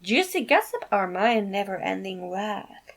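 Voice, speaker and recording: A woman's voice: mid-range voice, big pitch swings, unhurried. A sassy, languid diva, voiced by a woman in a fantasy story. The lines come out vain and teasing, hungry for gossip.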